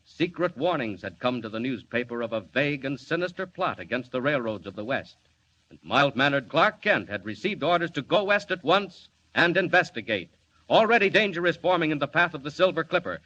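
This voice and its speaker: An actor playing a stirring announcer, narrating a 1940s radio serial in a grand, suspenseful manner. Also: male voice, a little high, sharp tone. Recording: muffled, hissy old 1940s radio recording.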